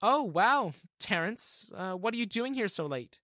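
A man's voice: high-pitched, lively intonation, fairly quick, fairly bright tone, dry recording, studio quality, voice pushed up. A man voicing a scheming, flirtatious middle-aged woman who talks in a wheedling, insistent way. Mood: fearful.

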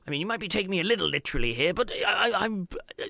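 Casting: male tabletop player, wry rambler, lots of vocal fry, swooping intonation, dry sound. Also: high voice, lively intonation, racing, sharp tone, no room sound. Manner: hesitant, wordy, repeats himself, wry. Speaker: player in a tabletop role-playing show. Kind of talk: improvised in-character table talk.

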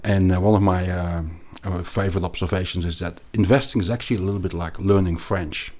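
Finance guest: middle-aged Belgian man, accented, very deep voice, halting delivery. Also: fairly even intonation, a little mellow. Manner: hesitant, many repeated words and restarts. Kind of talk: conversational interview.

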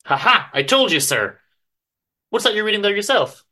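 A man's voice: sits high, sing-song, moderate pace, bright, forceful tone, pitched above the performer's own voice, exaggerated voice. A man voicing a cheerful, chatty young book lover with an enthusiastic, slightly flourished way of talking in a story reading. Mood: delighted.

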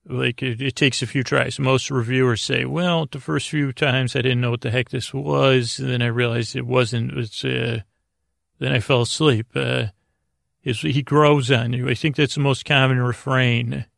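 A man hosting a sleep podcast, rambling in a lulling, soothing, creaky voice, halting and repeating himself as he over-explains. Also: mid-range voice, steady tone, a bit bright, no room sound, studio quality. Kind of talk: rambling bedtime podcast intro.

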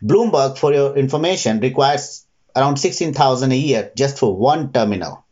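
A man's voice: medium pitch, lively intonation, moderate pace, darkish tone. Man in a dull, muffled interview recording. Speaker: interview guest, retail trader. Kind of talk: reflective interview answers.

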